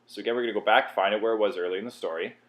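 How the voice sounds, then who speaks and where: A man with an informal, explanatory manner, talking through a software tutorial step by step. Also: on the low side, rapid-fire. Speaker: tutorial presenter.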